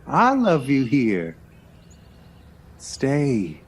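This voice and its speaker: An actor playing a cultured, affectionate lover and pianist in an audio drama, wry, teasing and poetic. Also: male voice, medium pitch, huge pitch swings, extremely slow, slightly rough, exaggerated voice. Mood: wistful, pleading.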